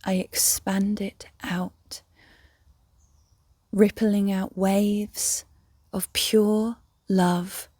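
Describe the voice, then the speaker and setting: A woman leading a guided meditation in a soft, breathy, near-whispered voice, gently and soothingly, with long pauses. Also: medium pitch, level tone, no echo, clean studio sound. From England. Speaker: meditation guide, yoga teacher.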